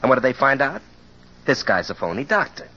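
A man's voice: mid-range voice, sing-song, a little fast, bright, forceful tone, slight background noise. A man playing a cocky, slangy private detective who wisecracks in mid-century radio drama style. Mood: tough, accusing. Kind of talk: 1940s-50s radio detective drama acting. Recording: muffled vintage radio recording.

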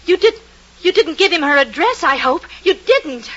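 A woman's voice: very high-pitched, animated, normal speed, fairly bright tone. An actress playing a timid, shaken woman, anxious, faltering and pleading, in an old radio drama. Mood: alarmed. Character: timid, shaken, fretful female friend. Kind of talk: 1940s-50s radio drama acting. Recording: muffled, noisy old radio recording.

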